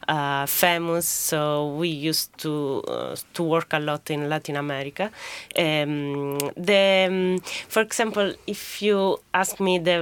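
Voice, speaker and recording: A woman's voice: low-pitched, smooth. A woman interview guest speaking casually as a non-native speaker, hesitant and searching for words. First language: not English. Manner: hesitant, searching for words in second-language English. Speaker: interview guest, radio mission worker. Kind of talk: casual, halting interview answers.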